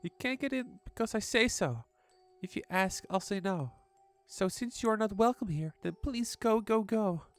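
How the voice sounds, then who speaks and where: A woman's voice: medium pitch, lively intonation, moderate pace, soft background music, natural voice. A woman voicing a frightened, pleading five-year-old girl with a small childlike voice in a horror story reading. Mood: scared, defiant.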